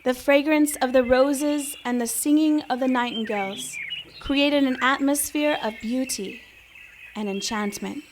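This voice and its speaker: A woman giving solemn, measured dramatic narration from a script in a reverent manner. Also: high voice, a little hiss or hum.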